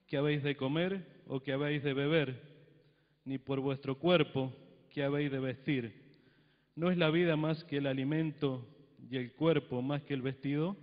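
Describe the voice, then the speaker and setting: A middle-aged man from Argentina, a pastor, reading scripture and preaching into a handheld microphone in a church hall, with solemn emphasis on the readings. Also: a little high. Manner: reads scripture with solemn emphasis, then talks plainly with the congregation. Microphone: handheld mic. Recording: long church reverb, dull lo-fi broadcast sound.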